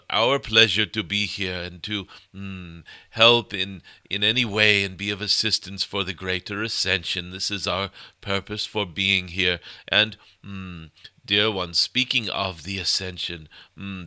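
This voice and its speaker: A man voicing a benevolent cosmic golden dragon spirit, delivering a spiritual message in formal, halting phrases punctuated by murmured hums. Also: sits low, sharp tone, clean tone, dry recording, studio quality, heavily acted. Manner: formal, otherworldly phrasing, tenderly addressing listeners. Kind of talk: channeled spiritual message, sermon-like.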